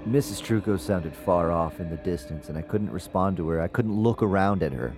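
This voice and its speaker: A very low-pitched actor as a man tells his ghostly story in the first person. He sounds uneasy yet conversational, like a scripted audio drama confession. Music plays prominently beneath his words. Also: a little fast, close to an everyday voice. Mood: uneasy, recounting. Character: haunted man recounting a seance, uneasy, conversational. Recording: music bed under the voice.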